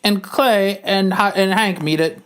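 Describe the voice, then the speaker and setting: A man's voice: high-pitched, measured pace, a bit bright, smooth. A man narrating stage directions aloud for an amateur comic reading on a podcast.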